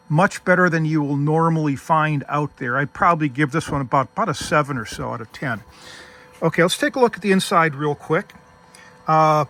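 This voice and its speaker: Bright, higher-pitched man giving a hands-on demo. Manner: methodical, step-by-step explaining. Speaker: host demonstrating vintage radio gear. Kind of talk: casual hands-on technical demonstration.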